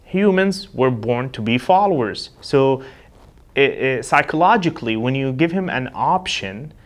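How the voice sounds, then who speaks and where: A man's voice: mid-range voice, expressive, a little slow. Man giving an emphatic sales training talk. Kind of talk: instructional talk with acted example dialogues. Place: indoor studio with backdrop.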